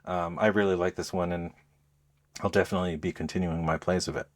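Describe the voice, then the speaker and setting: Deep-voiced man chatting about games. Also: level tone, fast. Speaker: podcast host reviewing games. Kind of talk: casual game review chat.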